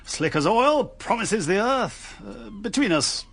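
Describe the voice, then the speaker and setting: An actor playing a bluff, snobbish army captain and party agent with an upper-class English accent and hearty, clipped speech in a radio drama. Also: male voice, high-pitched, lively intonation, measured pace, gravelly, fairly bright tone, heavily acted. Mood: blustering, confiding. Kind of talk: British radio drama acting.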